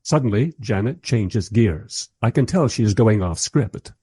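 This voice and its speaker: A man's voice: fairly low pitch, expressive, unhurried, mellow, husky. A man playing a curious narrator in a radio play, confiding wry observations about a recorded interview. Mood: intrigued, wry.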